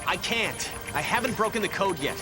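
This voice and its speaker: A man's voice: high-pitched, expressive, a little fast. A man playing an earnest, brainy and dutiful teenage mutant turtle in a video game cutscene, speaking articulately over music. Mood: strained, determined. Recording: music behind voice.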